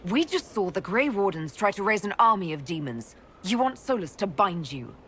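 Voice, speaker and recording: A blunt, practical leader. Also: female voice, mid-range voice, sing-song, moderate pace, fairly bright tone, a little hiss or hum. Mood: concerned. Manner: direct, practical. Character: pragmatic woman leading an order.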